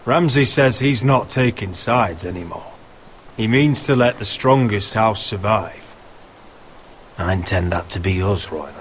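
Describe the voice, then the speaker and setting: An actor playing a steadfast, protective young noble lord in a dramatic game, speaking in an earnest, measured way. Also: male voice, on the low side, animated, a little hiss or hum. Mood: grim, resolute. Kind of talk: dramatic video game voice acting.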